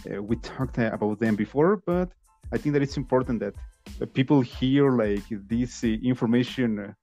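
A man hosting a podcast interview, speaking haltingly with many "uh" fillers. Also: medium pitch, mellow, soft background music. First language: Spanish. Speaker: podcast host. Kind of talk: casual interview chat.

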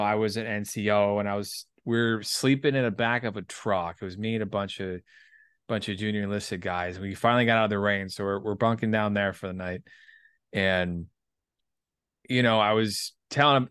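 A man in his thirties talking through writing ideas casually, in a halting, reflective way with some salty language. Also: on the low side, a bit bright, smooth, dry recording, clean studio sound. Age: 30s. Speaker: writer and performer, military veteran. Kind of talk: casual creative discussion.

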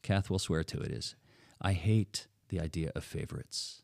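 An older man from the Netherlands, a pastor chatting as a podcast guest, telling stories in an animated, jokey way. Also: very deep voice, normal speed, gravelly, no room sound, clean studio sound. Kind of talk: casual interview chat.

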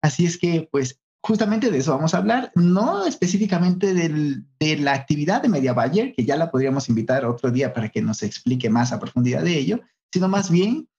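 A man with a Mexican accent hosts a relaxed conversational interview. His intonation swings widely and his phrasing is colloquial, heard through somewhat dull, lo-fi audio. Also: fairly high pitch, brisk, few pauses. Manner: colloquial, expressive. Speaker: podcast host. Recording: dull, lo-fi online call audio.

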